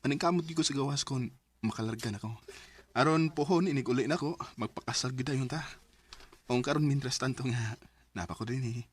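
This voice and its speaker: An actor playing a devoted, hopeful young boyfriend in a Filipino radio drama, with a Bisaya accent. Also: male voice, medium pitch, brisk, breathy edge, natural voice. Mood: hopeful, tender.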